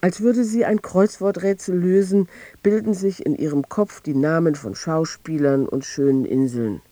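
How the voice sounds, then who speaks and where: An actor narrating a literary radio drama as a detached observer, measured and mellow. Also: male voice, a little high, steady tone, no echo, close to an everyday voice. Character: detached male narrator noting times, places and people.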